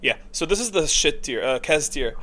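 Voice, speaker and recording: A man's voice: fairly high pitch, lively intonation, normal speed, pushed and bright. A man giving casual, opinionated ranking commentary as a gaming video creator, rambling along in emphatic, slangy language.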